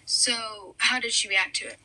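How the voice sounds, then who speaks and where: A woman's voice: a little high, steady tone, moderate pace, pushed and bright, rough recording. A young woman hosting a podcast, earnest and sympathetic, a little hesitant as she interviews her guest. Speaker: podcast host. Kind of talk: casual interview.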